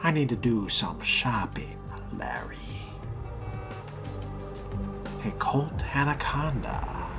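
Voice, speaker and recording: A man role-plays a dry-witted young vampire in a video game. He murmurs politely and slowly, with expressive swings in pitch. Clear music runs behind his voice. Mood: musing. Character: courteous, dry-witted male fledgling vampire.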